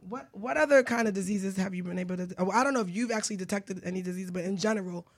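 A woman's voice: medium pitch, very fast. A woman hosting a radio show, chatting and asking questions in a candid, informal and conversational way. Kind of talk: casual conversational interviewing.

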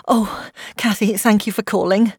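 A woman's voice: medium pitch, steady tone, drawn-out words, a little mellow, slightly rough, no echo, studio quality, pitched above the performer's own voice, exaggerated voice. A woman voicing a distraught foster mother on the phone in an audiobook, her voice trembling and emotional. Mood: tearful, shaken. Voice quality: trembling, breaking with sobs. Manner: emotional, indignant outbursts.

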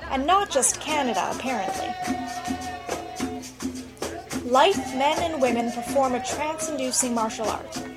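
A woman's voice: sits high, expressive, moderate pace, loud music behind the voice, a loud crowd. A woman reporter giving scripted radio narration with a wry, lightly humorous delivery.

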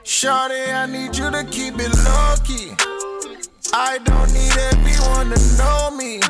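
Slow laid-back voice over a beat. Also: female voice, sits high, steady tone, over loud music. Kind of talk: rapping over a track. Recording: hip hop beat loud under voice.

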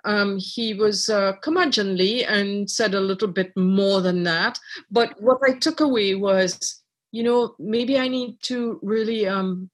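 A middle-aged woman from Trinidad, a writer and artist, talking reflectively in an interview with many pauses and restarts. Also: medium pitch. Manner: thoughtful, many fillers and restarts.

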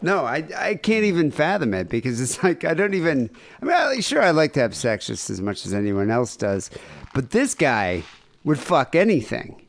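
A male podcast co-host in loose, crude banter. His pitch jumps around a lot, giving the talk a lively, animated swing. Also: moderate pace, creaky. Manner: crude, joking. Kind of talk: casual chat.

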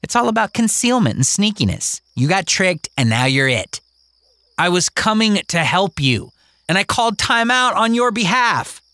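A woman's voice: medium pitch, big pitch swings, moderate pace, a bit bright, no room sound, studio quality, pitched above the performer's own voice. A man voicing a bossy, tough young girl who taunts and fights. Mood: taunting.

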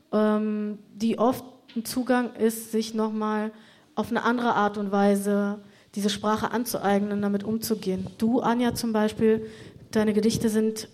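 A woman's voice: on the high side, darkish tone. A woman hosting a conversation, explaining her views and turning to her guests with follow-up questions. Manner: engaged, asks follow-up questions. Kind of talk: conversation host.

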